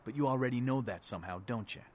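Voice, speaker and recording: An actor playing a world-weary, tough vampire rebel leader in a video game, gruff, terse and streetwise. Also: male voice, mid-range voice, fast, slight background noise, natural voice. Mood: weary, stern.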